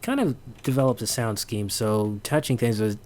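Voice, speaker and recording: A man giving an informal sound design tutorial, halting as he thinks aloud. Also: mid-range voice, heavy background noise.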